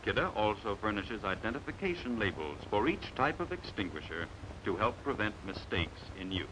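Animated American narrator, noisy vintage film. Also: male voice, on the low side. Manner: measured, authoritative, emphatic delivery. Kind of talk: scripted 1950s instructional film narration.

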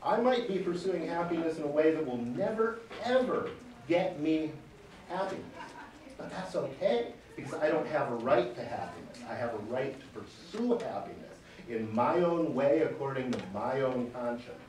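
Loud, emphatic man in echoey hall. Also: on the high side, expressive, moderate pace, a little hiss or hum. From Michigan. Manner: emphatic, gesturing, pounds the table. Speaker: public hearing witness. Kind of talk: unscripted testimony. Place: large wood-panelled hearing room. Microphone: far camera. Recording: echoey room, camera far off.

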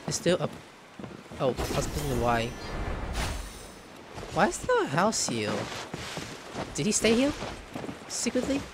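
A man's voice: high voice, huge pitch swings, unhurried, pauses often. A man streaming a game with casual commentary, playful and slangy, often exclaiming. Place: indoor room, webcam gaming setup. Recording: game music behind the voice.

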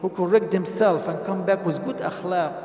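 A man's voice: high voice, fairly even intonation, normal speed, mellow. An older man giving a Friday sermon in a mosque at a stand microphone, earnest and exhorting, in non-native English with Arabic phrases mixed in. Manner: earnest, exhorting, rising to emphatic stress, asks the congregation questions. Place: mosque prayer hall, indoors. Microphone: stand microphone at a lectern. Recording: echoey mosque hall.

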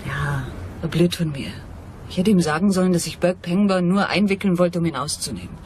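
An actress playing a mysterious swindler who pleads and justifies herself, earnest and dramatic, in in a crime radio drama. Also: female voice, fairly low pitch, brisk, a little mellow, close to an everyday voice. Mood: confessing, regretful. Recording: radio play with clear vehicle and ambient noise.

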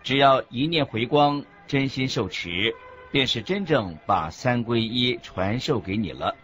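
Music plays behind a dull recording of an earnest Buddhist master in a radio drama. He teaches didactically in measured phrases, with lively rises and falls at a medium pitch. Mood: earnest, instructive. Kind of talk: scripted radio drama dialogue, expository. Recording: dull lo-fi audio with background music.

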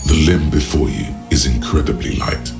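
A man voicing the brooding inner narration of a detective game, gravelly, deliberate and poetic. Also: very deep voice. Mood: measured, mesmerized. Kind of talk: scripted second-person game narration. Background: music.

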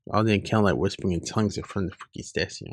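A man reading webcomic dialogue aloud in an informal way, loosely acting out the lines, with a gravelly, husky voice. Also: sits low, very fast. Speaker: online comic reader.

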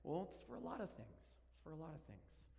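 A man's voice: fairly high pitch, brisk, gravelly. Spanish-native man preaching in a hall. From Argentina. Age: 40s. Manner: animated and joking, walks around stage. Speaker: pastor. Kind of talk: sermon. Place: large church sanctuary. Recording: long church hall reverb.

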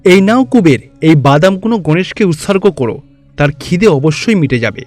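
A man voicing an amused, calm great god who teases and instructs in a cartoon myth. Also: a little high, normal speed, faint music behind, natural voice. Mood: reassuring. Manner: teasing, instructive.

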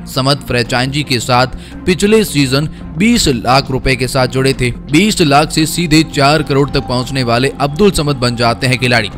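A young man reading a scripted cricket news voice-over in a brisk, emphatic way, with asides to viewers. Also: medium pitch, fairly bright tone. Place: voice-over, not in pictured place. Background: faint background music.

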